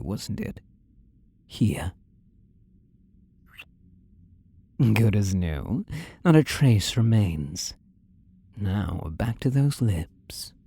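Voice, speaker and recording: A man performs a possessive demon lover speaking intimately to his beloved in an audio roleplay. His slow, commanding delivery swings widely in pitch and sometimes drops to a whisper. The voice is dark and mellow, with a sinister, seductive purr, recorded close and dry. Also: gravelly, breathy edge, no room sound, exaggerated voice. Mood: commanding, tender.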